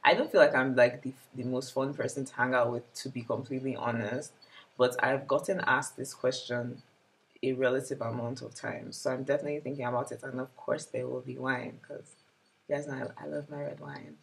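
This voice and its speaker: A woman's voice: rumbling low, fairly even intonation, brisk, vocal fry, low-quality recording. A woman in her twenties chatting casually and reflectively to her vlog audience, with many "like" fillers. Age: 20s. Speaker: vlogger. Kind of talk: casual year-in-review chat.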